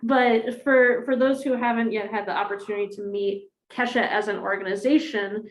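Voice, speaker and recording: Woman, rabbi, friendly host, halting with fillers, roomy echo. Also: medium pitch, steady tone. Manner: halting, many ums and restarts, friendly. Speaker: rabbi hosting a class. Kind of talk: casual introduction to a congregation.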